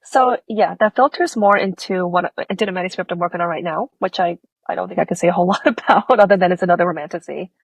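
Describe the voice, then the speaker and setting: A woman's voice: on the low side, fast, gravelly, few pauses, breathy edge, no room sound. A woman author who grew up in Singapore, with a faint accent, chatting animatedly as an interview guest and acting out her own reactions. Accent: Singaporean-tinged American. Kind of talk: conversational interview.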